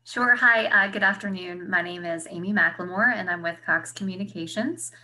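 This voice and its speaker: A woman's voice: fairly low pitch, normal speed, sharp tone, rough recording. A woman introducing herself as a panelist on a webinar, speaking hesitantly and repeating words.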